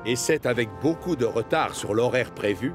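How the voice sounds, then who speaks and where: A man's voice: mid-range voice, moderate pace. Male children's cartoon narrator over music. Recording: music behind the voice.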